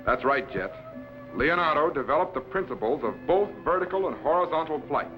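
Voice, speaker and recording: An actor playing a commanding, scholarly time-machine captain in a crisp, authoritative 1950s science fiction serial style. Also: male voice, fairly high pitch, animated, brisk, natural voice. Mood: instructive. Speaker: captain and mentor. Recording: dull vintage broadcast with loud music.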